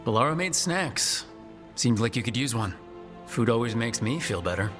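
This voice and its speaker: Actor as earnest heroic leader, mid-pitched man. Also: animated, moderate pace, close to an everyday voice. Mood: supportive. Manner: earnest, supportive. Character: heroic male team leader, earnest, reassuring, kind. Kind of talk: fantasy video game acting. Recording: game dialogue with music behind.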